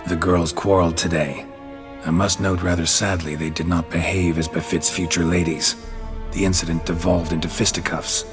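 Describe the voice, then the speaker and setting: A man acts a gruff, middle-aged monster hunter for a video game. His voice sits very low and gravelly. He speaks in a dry, clipped, deadpan way, with music clearly playing underneath. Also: normal speed, natural voice. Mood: flat reading aloud.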